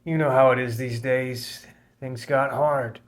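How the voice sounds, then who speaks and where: An actor playing a frail, ashamed out-of-work actor, who speaks haltingly in a table read. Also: male voice, medium pitch, fairly even intonation, close to an everyday voice. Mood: weary. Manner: halting, hesitant.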